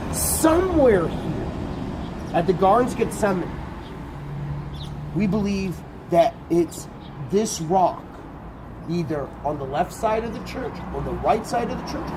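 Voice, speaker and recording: A man with a booming voice guiding a tour group outdoors, explaining in a lively conversational way. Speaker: tour guide. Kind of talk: guided tour talk. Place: outdoors at a garden site. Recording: outdoor street noise.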